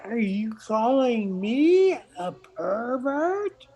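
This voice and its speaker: A befuddled hundred-year-old man acted by an older woman in a comic radio play. The voice is high and soft-edged, with a very slow, halting delivery and a hard-of-hearing confusion. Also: sing-song, exaggerated voice. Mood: confused.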